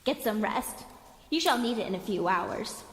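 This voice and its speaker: A woman's voice: a little high, lively intonation, a little hiss or hum, natural voice, low-quality recording. A woman voicing a domineering, mocking female leader of a savage tribe in a comedic fantasy audio drama. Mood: haughty, commanding. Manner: mocking, imperious, drawn-out delivery.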